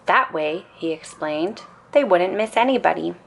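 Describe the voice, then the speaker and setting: A woman reading a children's story aloud in an expressive bedtime storytelling style. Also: lowish voice, unhurried, creaky.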